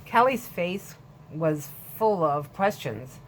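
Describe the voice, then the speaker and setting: A woman reading a chapter book aloud, gently voicing the characters. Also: on the low side, lively intonation, takes it slowly, a little hiss or hum, rough recording. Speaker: storyteller.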